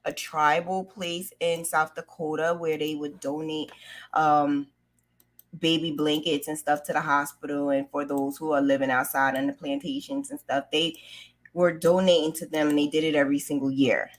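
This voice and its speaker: A woman's voice: sits low, little pitch movement, moderate pace. A middle-aged woman telling a personal story in a casual, candid and conversational way. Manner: candid, conversational, acts out remembered dialogue. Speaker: vlogger telling her own story.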